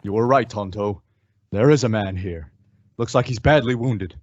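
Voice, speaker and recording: A man playing a brave, steady masked cowboy hero in a radio western, commanding and reassuring. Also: on the low side, moderate pace, muffled recording, close to an everyday voice. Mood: steady, concerned. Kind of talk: old-time radio western acting.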